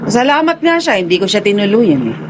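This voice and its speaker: An actress plays a menacing sorceress crime boss in a radio drama. She is arrogant and commanding, taunting with theatrical relish. Her pitch swoops widely as she speaks. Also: very animated, brisk, background noise, close to an everyday voice. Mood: scornful.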